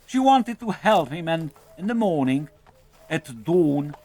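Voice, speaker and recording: A man narrating a scripted children's fairy tale in a dramatic, deliberate storytelling manner. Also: fairly high pitch, big pitch swings, warm tone. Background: music.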